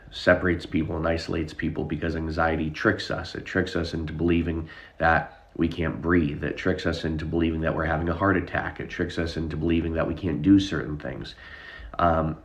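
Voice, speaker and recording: Very deep-voiced nasal man. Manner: rambling, many fillers and restarts. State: nasal from a chest cough. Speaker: anxiety relief therapist and hypnotist. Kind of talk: casual live-stream talk to viewers.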